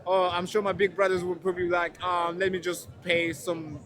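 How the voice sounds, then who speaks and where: High-voiced man interviewing on the street. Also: flat delivery, brisk, fairly bright tone. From Tanzania. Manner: friendly, questioning, with fillers and restarts.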